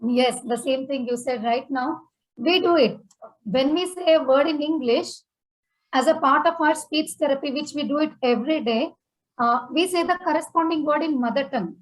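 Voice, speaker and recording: A woman from India with a high voice speaks in an online meeting over a video call. She cares for a stroke survivor and explains their daily routine in a plain, direct way. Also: normal speed, poor audio.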